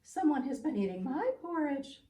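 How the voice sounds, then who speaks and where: A woman plays a storybook mother bear, surprised and indignant. The voice is high and emphatic, heard in a roomy space from a little distance. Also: sing-song, normal speed, dark tone.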